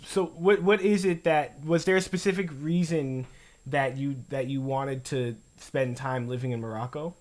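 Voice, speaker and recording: A man's voice: mid-range voice, steady tone, normal speed, darkish tone. Man, from New York, mid-range voice, easygoing, chatty interviewer, video call. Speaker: co-host. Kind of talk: casual interview.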